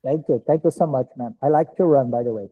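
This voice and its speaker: A man's voice: mid-range voice, fast, breathy edge, narrow, tinny audio. A man in a class group, asking friendly questions and offering encouragement.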